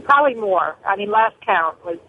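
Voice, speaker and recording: Woman, middle-aged caller, medium pitch, emphatic short sentences, narrow phone sound. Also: animated, normal speed, slight background noise. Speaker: call-in listener, family physician. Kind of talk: earnest opinionated call-in talk. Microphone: telephone. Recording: narrow tinny phone line.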